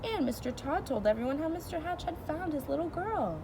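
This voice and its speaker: A woman with a fairly high voice reads a children's story aloud like a teacher. Her delivery is expressive and explanatory. The recording has clear noise in the background. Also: fairly quick. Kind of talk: children's storybook read-aloud with simple commentary.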